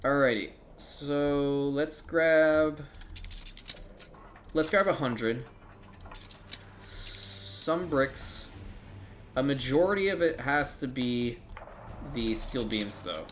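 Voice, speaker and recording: A man's voice: medium pitch, very slow, pauses often, a little hiss or hum. A man giving casual, rambling commentary while playing a strategy game, thinking aloud and swearing now and then.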